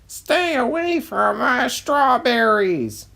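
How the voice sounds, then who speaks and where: A woman voicing a gruff, threatening male farmer in a dramatic reading of a cartoon story. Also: on the high side, big pitch swings, slow pace, fairly bright tone, pitched above the performer's own voice, exaggerated voice. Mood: angry. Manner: threatening, curt.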